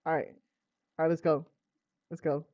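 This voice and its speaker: An American woman streaming a visual novel, switching between dramatic reading and slangy, profane, expressive reactions. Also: low voice, normal speed, no echo. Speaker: gaming streamer. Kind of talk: animated let's play commentary and dramatic read-aloud of a visual novel. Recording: slightly dull audio with music behind. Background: game music.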